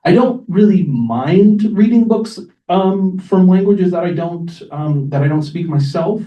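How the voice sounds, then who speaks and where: A man's voice: sits high, lively intonation, a little slow, highs cut off. A man on a panel answering casually and informally, thinking aloud as he goes. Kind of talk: casual unscripted answer to an audience question. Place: echoing library event room. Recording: roomy, distant microphone.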